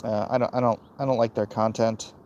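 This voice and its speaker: A man with a gravelly voice hosting a casual, opinionated livestream, answering chat in a blunt, dismissive way and muttering between thoughts. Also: lowish voice, level tone, brisk, a little mellow, highs cut off, slight background noise. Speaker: livestream host reading and answering chat comments.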